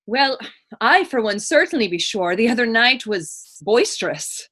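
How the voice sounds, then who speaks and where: A woman's voice: on the high side, big pitch swings, a little slow, sharp tone, breathy edge, heavily acted. A woman playing a plainspoken, teasing but compassionate woman in readers theater, speaking frank, folksy dialect. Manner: folksy, frank questioning in archaic Irish dialect. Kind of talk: readers theater dramatic dialogue.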